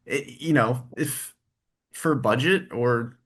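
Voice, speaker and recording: A man's voice: mid-range voice, takes it slowly, a bit bright, slightly rough. A man being interviewed, talking about his work in a friendly, rambling way and laughing easily. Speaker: guest, tribute performer and engineer. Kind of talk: casual interview chat.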